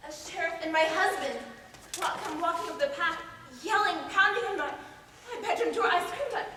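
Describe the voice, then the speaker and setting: A woman's voice: extremely high pitch, lively intonation, brisk, sharp tone, heavily acted. A woman acting a distraught, defiant mother committed to an asylum, in a theatrical, emphatic stage manner. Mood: distressed, pleading. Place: auditorium stage, recorded from far off. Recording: echoey stage, far mic, faint hiss.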